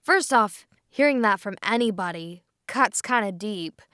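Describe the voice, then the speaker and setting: A woman's voice: mid-range voice, animated, a little slow, bright, forceful tone, clear, resonant tone, dry recording, clean studio sound. A woman in a casual cast discussion, speaking in an earnest, emphatic way. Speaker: cast member.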